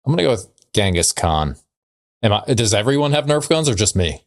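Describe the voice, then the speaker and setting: A man's voice: lowish voice, big pitch swings, brisk, no echo, clean studio sound. A man co-hosting a podcast in casual comedic banter, often questioning and teasing. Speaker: podcast co-host.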